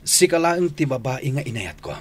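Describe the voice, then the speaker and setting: A man's voice: mid-range voice, sing-song, moderate pace, noisy background, natural voice. A man acting a spurned, lovesick suitor in an Ilocano radio drama, pleading insistently.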